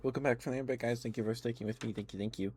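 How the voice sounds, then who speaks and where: A man livestreaming casual ASMR chat about games, talking in a rambling, halting way. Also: mid-range voice, fairly even intonation, darkish tone, slightly rough.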